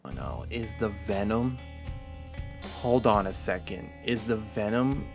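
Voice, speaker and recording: Male reaction host, slangy and animated, unhurried delivery, exclaims and mutters over prominent music. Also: lowish voice. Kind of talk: casual excitable reaction commentary.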